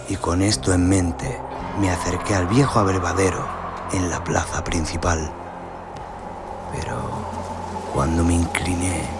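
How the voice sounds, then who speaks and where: A man narrating a scripted western horror tale in a brooding, theatrical, deliberate manner. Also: low voice, gravelly. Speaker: audio story narrator. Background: cinematic sound design and music.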